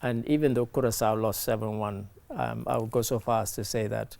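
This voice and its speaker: A man with a croaky voice after a late night, giving blunt, conversational sports commentary as a talk show panelist. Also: medium pitch, fairly even intonation, brisk, darkish tone, no room sound.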